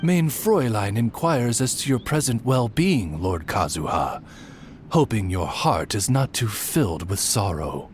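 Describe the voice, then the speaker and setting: Game familiar: courtly raven retainer, low sweeping voice, ornate and deferential, noisy ambience behind. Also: male voice, sing-song, unhurried. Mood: solicitous. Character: formal, courtly male raven servant.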